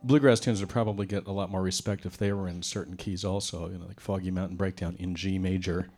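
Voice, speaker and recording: A middle-aged man, a banjo player, chatting with wry, relaxed humor between tunes on a concert stage. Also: low-pitched, quick pace. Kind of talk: onstage banter between tunes. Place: concert stage before a live audience. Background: banjo music.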